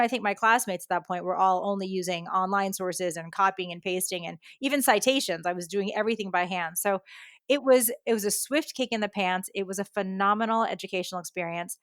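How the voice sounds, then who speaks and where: A woman in her forties from the United States, a podcast guest telling her story in a brisk, candid way. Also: mid-range voice, fairly bright tone, no echo, clean studio sound. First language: Japanese. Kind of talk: podcast interview.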